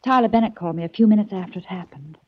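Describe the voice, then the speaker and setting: An actress playing a frightened young nightclub singer in an old radio drama. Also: female voice, mid-range voice, very fast, slightly rough, lightly acted. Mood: anxious. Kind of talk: 1940s radio acting. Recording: dull old radio recording.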